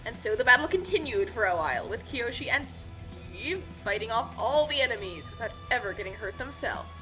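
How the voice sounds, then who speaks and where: A woman's voice: on the high side, expressive, a little fast, music in the background, low-quality recording. A woman telling a comedic story as a scripted narration, casual and tongue-in-cheek.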